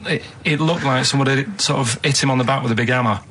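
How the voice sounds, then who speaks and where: A man's voice: medium pitch, quick pace, noisy background. A man with a northern English accent chatting casually and telling rambling anecdotes in a plain-spoken, matter-of-fact way. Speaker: radio show regular telling anecdotes.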